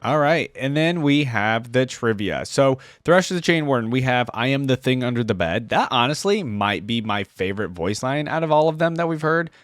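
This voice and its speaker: A man's voice: mid-range voice, expressive, moderate pace, hardly any pauses, fairly bright tone, no room sound, clean studio sound. A man hosting a reaction video, giving casual, rambling gaming commentary as he thinks aloud.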